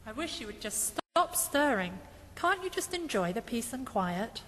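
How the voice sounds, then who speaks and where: A woman puts on a grumbling Christmas present for a puppet show, fussy and sardonic. The voice is high and echoes in a hall. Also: big pitch swings, normal speed, over loud music. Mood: fretful.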